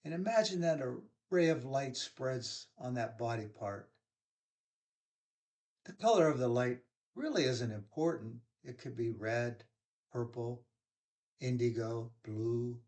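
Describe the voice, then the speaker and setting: A man leading a guided yoga nidra relaxation, giving soothing, unhurried instructions with pauses. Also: mid-range voice, a little mellow.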